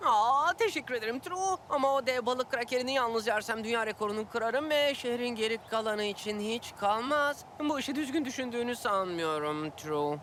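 A woman's voice: fairly high pitch, lively intonation, brisk, few pauses, fairly bright tone, slight background noise. A woman voicing a playful, food-loving, slightly self-important talking cat in a theatrical, wordy children's cartoon style. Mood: amazed, playful. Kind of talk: animated children's cartoon dub acting. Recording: music behind the voice.

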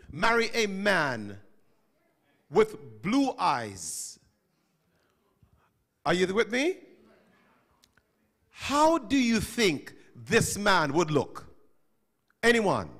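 A man's voice: sits high, takes it slowly, long pauses. A middle-aged man teaching students at a school chapel, questioning and calling on them, on a handheld microphone. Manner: animated, questioning, calls on listeners. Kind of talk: interactive talk to students.

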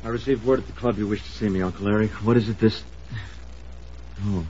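An actor playing an earnest, stubborn young archaeologist in an old-time radio drama, speaking formally and firmly. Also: male voice, fairly low pitch, steady tone, fairly quick, dark tone, close to an everyday voice. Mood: polite, earnest. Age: young adult. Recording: dull, hissy old radio broadcast.

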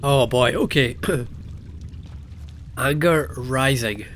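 A man's voice: medium pitch, drawn-out words, a bit bright, slight background noise. A young Scottish man with a Scottish accent, commenting casually and sarcastically on a video game he is playing. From Scotland. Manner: sarcastic, jokey asides and mock voices. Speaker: let's play host.

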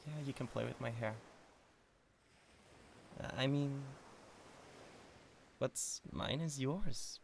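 A man's voice: medium pitch, takes it slowly, creaky, pauses often, slight background noise. A man voicing a lovestruck, awkward devil king of Hell in an intimate ASMR roleplay, hesitant and stammering. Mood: tender, shy. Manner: hesitant, stammering, swinging from tender to giddy. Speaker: audio roleplay voice actor.

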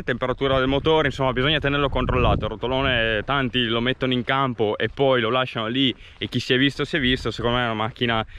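A young farmer vlogging outdoors, chatting to viewers as he explains his crops, filmed on a handheld action camera. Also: mid-range voice. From Italy. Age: young adult. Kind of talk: casual farm vlog to camera. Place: outdoors in open farm fields, windy. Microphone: action camera held at arm's length. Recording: wind noise on camera mic.

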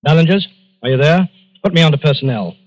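No-nonsense detective inspector, clipped and in charge, old radio drama, dull lo-fi audio. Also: male voice, a little high, animated, quick pace. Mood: brisk, questioning. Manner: clipped, authoritative. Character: brisk, businesslike Scotland Yard police inspector. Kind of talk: 1940s radio drama acting. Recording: muffled old radio recording.

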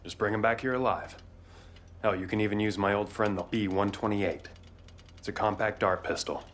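An actor playing a laid-back American marshal in a video game, folksy, profane and joking. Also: male voice, on the low side, fairly even intonation, moderate pace, fairly bright tone, close to an everyday voice. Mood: wry briefing. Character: laid-back wry male bail marshal handing out bounties.

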